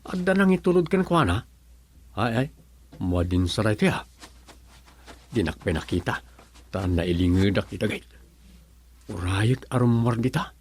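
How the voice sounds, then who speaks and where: A man's voice: fairly low pitch, expressive, a little slow, pauses often, darkish tone, slightly rough. An actor playing an exasperated grown son of an old father in an Ilocano comedy radio drama, with a Filipino accent and a fussing, muttering way of talking. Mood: grumbling, searching.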